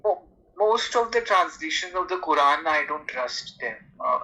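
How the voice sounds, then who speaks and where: A man calling in to an informal religious discussion, speaking in a hesitant, halting way. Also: on the high side, lively intonation, over a noisy crowd, low-quality recording. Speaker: debate caller.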